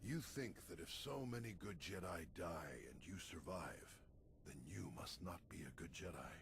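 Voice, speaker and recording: An actor playing an elderly, wise Jedi master in a video game, speaking in a measured, patient, solemn way with a gravelly voice. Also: male voice, sits low, animated, background noise. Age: older. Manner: measured, philosophical mentoring. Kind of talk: video game cutscene acting.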